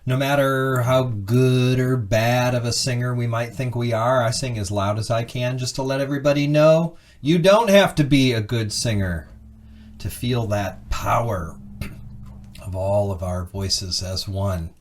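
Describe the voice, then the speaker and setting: A man's voice: medium pitch, measured pace. A man speaking as a minister to a congregation, earnest and encouraging as he leads worship. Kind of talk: worship service address introducing a hymn.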